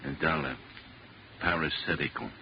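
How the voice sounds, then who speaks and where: A tired, exasperated American police lieutenant in vintage radio drama. The voice is very deep, gruff and slangy. The recording is dull, with clear noise under it. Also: male voice, big pitch swings, normal speed, full character voice. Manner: gruff, slangy, world-weary. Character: weary, exasperated male city police lieutenant.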